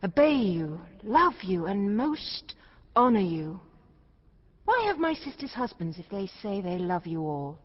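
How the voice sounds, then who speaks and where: A sincere young princess, the plain-spoken youngest daughter in a Shakespearean drama. Her mid-pitched voice is breathy and husky, with measured, earnest delivery. The sound is slightly muffled. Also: sing-song. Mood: earnest, firm. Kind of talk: classical Shakespearean stage acting.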